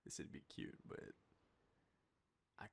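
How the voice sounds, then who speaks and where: A young Australian man acting an intimate boyfriend roleplay as a shy, nervous partner, speaking softly and haltingly into a close microphone. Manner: hesitant, nervous, halting with breathy trailing phrases. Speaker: audio roleplay performer. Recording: faint background noise, ambient sound.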